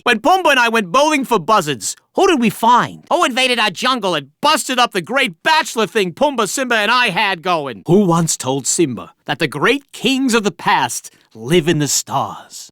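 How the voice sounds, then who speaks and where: A man's voice: sits high, bright, forceful tone, no echo, exaggerated voice. A cocky little meerkat hosts a video game quiz, cracking jokes and cackling at his own gags. His voice swoops and bounces all over the place. It is recorded dry and close in a studio. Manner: jokey, chatty, laughs at own jokes. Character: wisecracking male meerkat quiz host. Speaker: quiz host.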